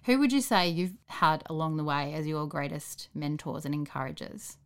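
A woman's voice: deep voice, normal speed. A woman hosting a casual conversational interview, talking in a reflective, affirming way. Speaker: interviewer, podcast host.

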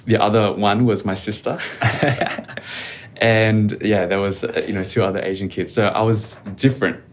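A man speaking as a podcast guest in a calm, reflective, measured way. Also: fairly low pitch, slight background noise. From Australia. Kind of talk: casual interview conversation.

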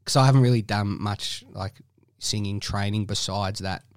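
A man chatting casually as a podcast guest, relaxed, with frequent laughs and fillers. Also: on the low side, moderate pace, smooth, dry recording, studio quality. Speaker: guest musician.

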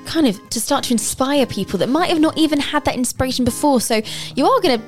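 A woman's voice: high-pitched, animated, fast talker, faint music behind. A woman hosting a radio show, chatting casually with a guest, still getting her voice back after losing it. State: recovering from voice loss.

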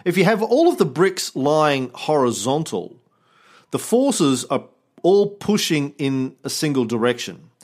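A man's voice: fairly high pitch, very animated, unhurried, darkish tone. A man hosting a podcast in casual chat, joking around and swearing freely. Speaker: podcast host.

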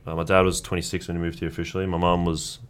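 A young Australian man whose first language is Croatian, telling stories in a relaxed, rambling way as a guest in a casual chat. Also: low voice, racing, clean tone. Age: young adult. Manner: relaxed, rambling storytelling with restarts. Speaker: guest athlete.

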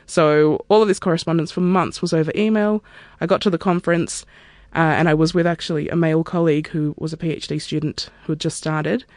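A woman's voice: lowish voice, a little mellow, no echo. Woman, 30s, research scientist, candid, reflective, conversational, dry close recording. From Australia. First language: Turkish. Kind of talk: interview monologue.

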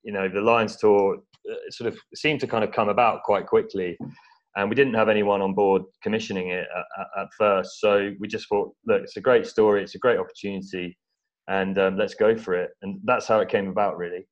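An English man from East Anglia giving measured, modest, somewhat hesitant conversational answers as an interview guest. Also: lowish voice, steady tone. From East Anglia, England. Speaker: interview guest, documentary film-maker. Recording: dull, lo-fi audio.

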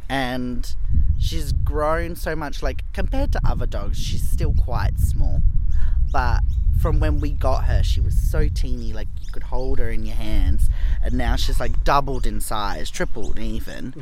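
A young man chats informally and haltingly at a slow pace. His voice has a middle pitch, and it was recorded outdoors with clear background noise. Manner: hesitant, informal, trailing phrasing. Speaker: dog owner being interviewed. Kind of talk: casual chat. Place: dog park, outdoors.

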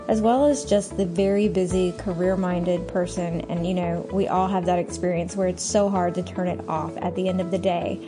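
A woman hosting a podcast, chatting in an upbeat, enthusiastic way with frequent fillers. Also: on the low side. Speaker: podcast host. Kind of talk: casual podcast chat.

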